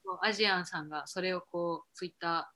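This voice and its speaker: Bright-voiced woman in casual chat. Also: lowish voice, steady tone, a little fast. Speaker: podcast co-host, blogger.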